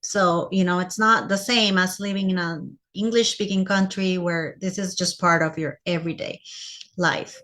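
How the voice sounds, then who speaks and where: A woman's voice: lowish voice, steady tone, normal speed, a bit bright. A woman from Costa Rica with a Costa Rican Spanish accent in English, speaking as a teacher giving a personal presentation to fellow teachers.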